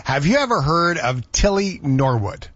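A man's voice: a little high, sing-song, normal speed, fairly bright tone, no echo. A man hosting a radio show, chatting casually and telling stories. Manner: chatty, storytelling. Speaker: radio host. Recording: dull lo-fi radio audio.

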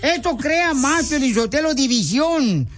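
A radio host who talks in a very high register, teasing and trading mock insults with his crew. Faint music runs under his talk. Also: animated, measured pace, a bit bright. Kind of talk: joking radio banter.

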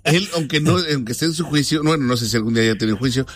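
A man's voice: mid-range voice, fast. A man hosting a casual call-in radio show, reading dedications and plugs in a playful, teasing, slangy way. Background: music.

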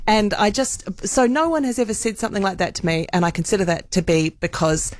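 A woman's voice: mid-range voice, brisk, no room sound. A woman with a New Zealand accent, talking earnestly as a panelist in a casual discussion. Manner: earnest, restarts sentences when making a point.

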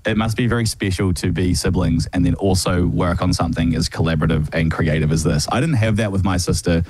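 A man interviewing a young band, full of praise and pausing often with fillers. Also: deep voice, darkish tone, slight background noise. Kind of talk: casual interview.

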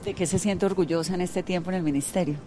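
Effusive Colombian radio woman, lowish voice. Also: fairly even intonation, quick pace, dark tone, a little hiss or hum. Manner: effusive, chatty, informal. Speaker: radio journalist and interviewer. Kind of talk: conversational radio interview.

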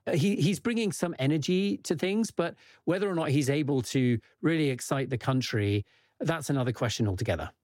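A man explaining politics on a news podcast in a measured, careful way with some fillers. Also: on the high side, expressive, a little mellow, no echo, studio quality. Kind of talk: explanatory conversation.

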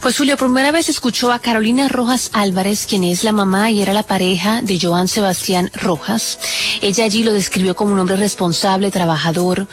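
A woman giving a live, conversational news report, explaining things to the anchor as a reporter. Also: mid-range voice.

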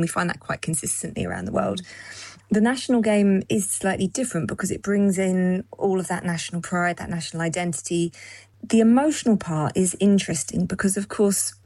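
A woman with a fairly low voice gives expert answers in a radio interview. She speaks at a steady, explanatory pace, and the recording is dry and close with no room sound. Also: fairly quick, no room sound. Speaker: psychologist, interview guest. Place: London.

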